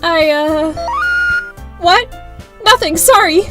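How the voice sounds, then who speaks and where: A woman's voice: high voice, expressive, normal speed, over music. A woman playing an awkward, earnest teenage schoolgirl who narrates her thoughts in an audio drama, a little hesitant. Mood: flustered.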